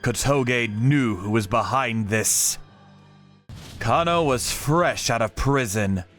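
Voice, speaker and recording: A man reading scripted dramatic narration in a grave, measured way. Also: mid-range voice, fairly bright tone. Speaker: narrator of a manga story, telling it in first person as a vigilante character. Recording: music behind the voice.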